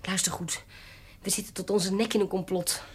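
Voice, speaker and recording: An actress playing a shrewd, self-assured baroness in a radio drama, speaking formally, pointedly and insistently with a husky voice. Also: female voice, medium pitch, fairly even intonation, moderate pace, lightly acted. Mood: confrontational. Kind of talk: Dutch radio drama acting.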